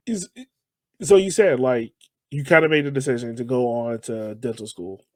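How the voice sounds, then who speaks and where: A man's voice: mid-range voice, normal speed, dry recording. A man with an American accent hosting a casual interview, informal and slangy, often repeating his phrases. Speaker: podcast host.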